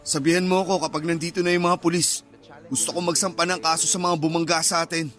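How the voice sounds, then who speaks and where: A man's voice: a little high, flat delivery, fast, a bit bright, soft background music, lightly acted. A man playing an anxious, emotional father in a radio drama. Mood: angry, insistent. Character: anxious, guilt-ridden father turning angry and vengeful.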